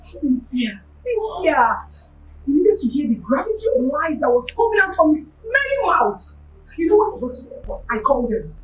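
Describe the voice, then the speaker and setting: A haughty Nigerian princess in a screen drama, betrayed and furious. Her voice is very high and swings widely as she rants imperiously. The room echoes around her. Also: very animated, normal speed, a little hiss or hum. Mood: indignant. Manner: imperious, ranting, emphatic. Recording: roomy echo, faint music behind.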